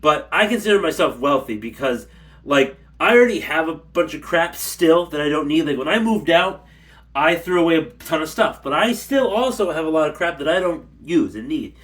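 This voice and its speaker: A man's voice: on the high side, expressive, moderate pace, a bit bright. A man ranting casually to his camera at home, rambling and blunt, a little stuffy from allergies. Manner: rambling, blunt, repeats himself. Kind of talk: casual rant. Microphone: camera mic.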